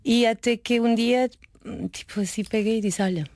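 A woman's voice: fairly high pitch, steady tone, moderate pace, a little mellow, no room sound, studio quality. Chatty woman in her late thirties. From Mexico. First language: Spanish. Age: late 30s. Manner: informal, chatty, acting out reported conversations. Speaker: guest, pastry chef. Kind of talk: casual autobiographical storytelling in an interview.

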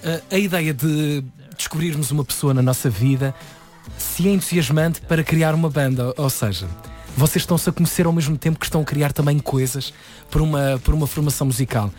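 A man's voice: a little high, a little fast, a little mellow, soft background music. A man with a European Portuguese accent, presenting and interviewing conversationally as a radio host.

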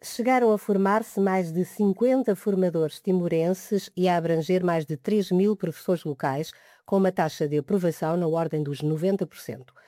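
A woman interviewer with a low, mellow voice reads a formal question steadily. The sound is dry and close. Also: normal speed, hardly any pauses, no echo.

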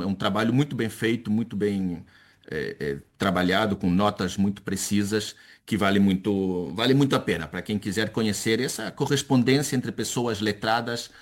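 An older man, an academic, answering in a conversational interview, halting and repeating words as he searches for them. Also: lowish voice. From Chile-born son of Brazilian exiles, later Spain. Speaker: academic, university professor, interview guest.

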